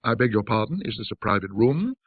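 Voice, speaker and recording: A man voicing a nervous, apologetic young gentleman in a ghost story, hesitant, polite and confiding. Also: fairly low pitch, very animated, fairly quick, husky, dry recording, phone-quality sound. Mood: timid, polite.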